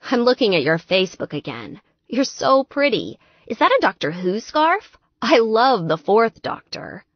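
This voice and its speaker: A woman's voice: a little high, big pitch swings, measured pace, no room sound, highs cut off, heavily acted. A woman voicing a lovesick, clingy young man in an audiobook, earnest, pleading and gushing. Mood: smitten.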